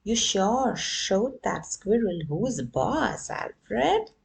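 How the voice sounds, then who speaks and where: Cheerful young dog, voiced by a woman, eager, slow and animated, a bit gravelly, storybook reading. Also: medium pitch, voice pushed up, full character voice. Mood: excited.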